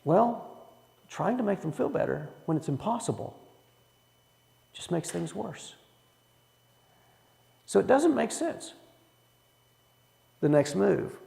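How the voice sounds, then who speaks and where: A man's voice: high-pitched, big pitch swings, slow pace, vocal fry, pauses often, dark tone, in a large hall. A man leading a church Bible study in a folksy way, asking the room questions as he teaches. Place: church hall.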